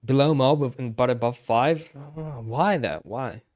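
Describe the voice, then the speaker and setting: Halting male game streamer, casual. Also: mid-range voice, a little mellow. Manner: halting, repeats and second-guesses himself. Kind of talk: casual live-stream commentary.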